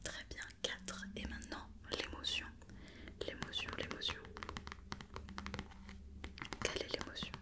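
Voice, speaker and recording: A woman whispering an ASMR roleplay as a doctor, giving soft, repetitive, reassuring instructions. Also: measured pace, pauses often.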